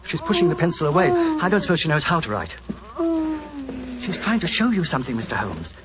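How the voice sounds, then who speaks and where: A man's voice: a little high, huge pitch swings, fairly quick. An actor playing an agitated, well-spoken male painter in a 1940s radio mystery, hesitant and dramatic. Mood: alarmed. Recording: noisy, hissy 1940s radio recording.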